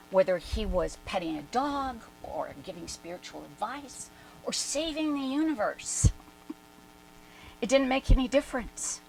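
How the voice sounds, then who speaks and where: A woman acts out a devoted female follower looking back in awe on her spiritual master. She takes her time, with theatrical emphasis and swelling phrases in a mid-range voice. It is a live dramatic reading with clear noise in the recording. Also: medium pitch, poor audio. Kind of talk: dramatic reading of a first-person reminiscence.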